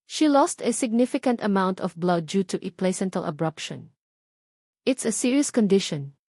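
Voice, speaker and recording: A serious Filipino hospital doctor, acted by a woman narrator, speaking in formal English. The delivery is calm, measured and professional, as in an audiobook. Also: mid-range voice, no echo, studio quality. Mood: calm, grave.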